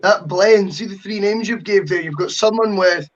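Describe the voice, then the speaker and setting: A man's voice: high-pitched, normal speed. A man hosting a casual football podcast, talkative and opinionated with a joking streak. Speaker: podcast host. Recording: dull lo-fi audio.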